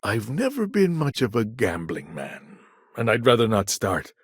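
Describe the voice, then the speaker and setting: An actor playing a shrewd, guarded businessman in a video game, with a measured, wry, businesslike way of talking. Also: on the low side, wildly swooping pitch, husky, no room sound, studio quality, full character voice. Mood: cautious, confiding. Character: shrewd, guarded corporate businessman, smooth-talking and self-assured. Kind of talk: scripted game dialogue.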